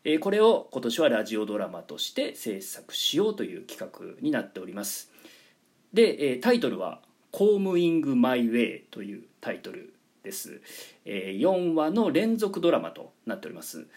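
Man with a lively, swooping delivery. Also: medium pitch, slightly rough. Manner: polite, explanatory, stressing key words. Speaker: presenter and organiser of a radio drama project. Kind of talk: informal announcement explaining a project.

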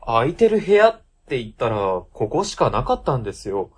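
A man playing an earnest, polite young rookie civil servant in a comedic radio drama, quick to react. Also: mid-range voice, very animated, a little mellow, husky. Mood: puzzled. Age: young adult.

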